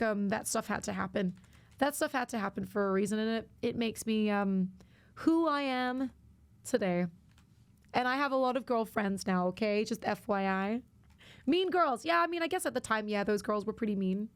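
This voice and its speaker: A young woman streaming a game, chatting casually and rambling with lots of fillers, her voice a little worn out. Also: fairly high pitch. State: slightly worn-out voice. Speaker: variety streamer. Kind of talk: casual livestream chat while gaming.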